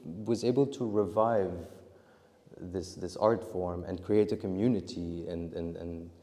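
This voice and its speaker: A man's voice: sits low, fairly even intonation, a little slow, mellow, in a large hall. A young man answering interview questions about his film, rambling and restarting often as he talks. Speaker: interview guest, filmmaker.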